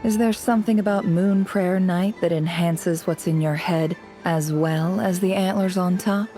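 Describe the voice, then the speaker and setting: A woman's voice: fairly low pitch, steady tone, unhurried, warm tone, soft background music, close to an everyday voice. A woman voicing a shrewd, teasing, cool-headed woman in a video game, with a dry and composed delivery. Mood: wry, composed. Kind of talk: scripted video game acting.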